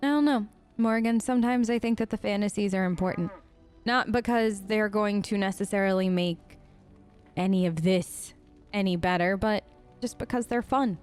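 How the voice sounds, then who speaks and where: A woman's voice: medium pitch, normal speed, darkish tone, clean tone, faint music behind. A woman hosting a role-play let's play, chatting casually in character in an earnest, playful way. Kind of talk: casual in-character game commentary.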